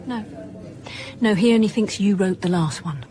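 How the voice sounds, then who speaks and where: A woman playing a brisk British police chief inspector in a naturalistic radio drama, questioning politely but insistently. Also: mid-range voice, warm tone, slight background noise, close to an everyday voice. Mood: businesslike.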